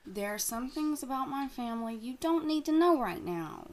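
An actress playing a secretive young woman from a cursed family, guarded and hushed. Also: high voice, expressive, moderate pace. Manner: hushed, guarded, then pleading. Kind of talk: audio drama acting.

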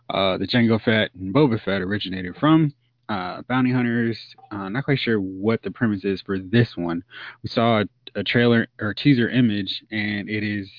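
Low-voiced man hosting a casual podcast. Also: no room sound. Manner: loose, animated, leading the talk. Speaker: podcast host. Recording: dull lo-fi sound.

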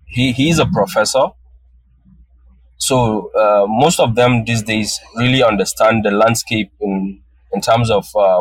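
A man's voice: fairly low pitch, no echo, low-quality recording. A man from northern Nigeria whose first language is Hausa, answering interview questions thoughtfully and often restarting his phrases. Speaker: guest photographer.

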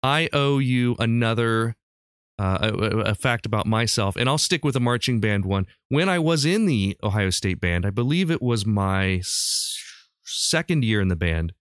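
A man's voice: on the low side, expressive, normal speed, fairly bright tone, no echo, clean studio sound. An American man in his forties hosting a podcast, chatting and telling stories casually in a rambling, self-correcting way. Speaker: podcast host and quizmaster. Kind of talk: casual chat and storytelling.

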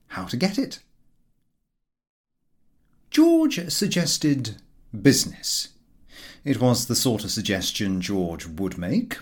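A man reads a comic period novel aloud as an audiobook narrator. His pitch sits in the middle but swings very widely, giving the reading a highly expressive, animated shape.

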